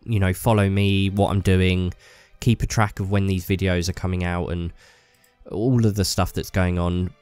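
Deep male vlogger, stuffy cold, enthusiastic and chatty. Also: a little mellow. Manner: enthusiastic, rambling with fillers. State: bunged up with a cold. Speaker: YouTuber. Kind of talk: casual hobby vlog talk.

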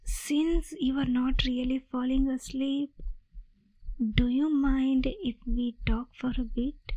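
A woman voicing a sweet, gentle vampire in a soft, hushed, reassuring ASMR roleplay, coaxing her human prey. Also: sits high, steady tone, slow, dry recording, muffled recording. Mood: soothing.

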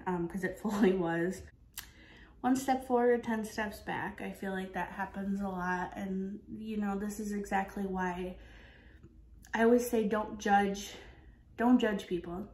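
A woman in her twenties talking casually to camera as a vlogger, in an earnest way with emphatic repetition. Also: medium pitch. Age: 20s. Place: kitchen of an apartment, indoors. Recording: echoey indoor room.

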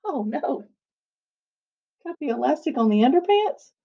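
Playful teacher reading aloud to kids. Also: female voice, high-pitched, unhurried, dull audio. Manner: playful, expressive, chatty asides to children. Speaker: second-grade teacher. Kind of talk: reading a picture book aloud. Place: small attic room at home. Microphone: webcam at desk.

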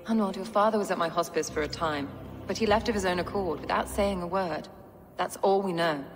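A woman's voice: lowish voice, fairly quick, lightly acted. A woman playing a sober, motherly medieval abbess in a video game, solemn and sympathetic. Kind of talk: video game cutscene acting. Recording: reverberant hall with faint music.

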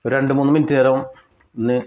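A man with a Kerala Malayali accent giving step-by-step cooking instructions in a plain way, with pauses and repetitions. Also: medium pitch, little pitch movement, phone-quality sound. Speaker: home cook presenter. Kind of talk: step-by-step recipe narration.

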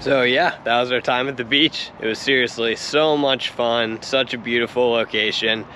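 A man's voice: medium pitch, fairly even intonation, normal speed, pushed and bright, soft background music. A man in his twenties talking casually and upbeat to a handheld action camera outdoors at a rocky beach, with lots of slang. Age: 20s. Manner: upbeat, slangy, laid-back. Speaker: vlogger. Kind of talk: casual travel vlog talk.

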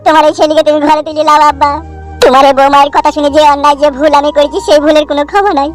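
A man's voice: very high-pitched, steady tone, very fast, faint music behind. A man voicing a hapless, remorseful grown son in a comedy cartoon, pleading melodramatically.

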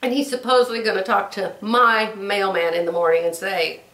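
An older woman with a drawl, hoarse, telling stories and chatting casually to camera as a sewing vlogger. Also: mid-range voice, fairly even intonation, normal speed, a bit bright, recorded from a distance. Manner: chatty storytelling, acts out quoted voices. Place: bedroom sewing room, indoors. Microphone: camera across the room. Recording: roomy, from off mic.

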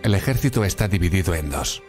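Game narrator: grave military briefer played by a man, very low voice, dramatic scripted delivery, loud music underneath. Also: animated, quick pace. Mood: grave, ominous.

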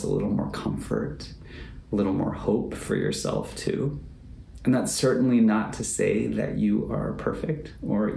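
A male pastor delivers a thoughtful sermon in a heavily creaky, gravelly voice with a mellow tone. He speaks deliberately and leans on repetition for emphasis. The room adds noticeable echo around his words. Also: fairly low pitch. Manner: measured, deliberate, repeats phrases for emphasis. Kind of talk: reflective sermon to camera. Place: book-lined home office or study, indoors. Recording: roomy echo, faint background noise.

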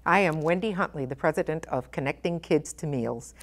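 A middle-aged woman presenting a children's storytime, speaking expressively and with deliberate phrasing for young listeners. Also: deep voice. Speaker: guest storytime reader, nonprofit president. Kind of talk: children's picture book read-aloud. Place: indoor storytime set at a library.

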